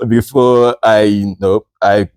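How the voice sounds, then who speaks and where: A man's voice: on the low side, fairly even intonation, slow pace, a little mellow, clean tone, no room sound. A man from Nigeria in his thirties, a guest telling his story in a casual interview, hesitant with many fillers and restarts.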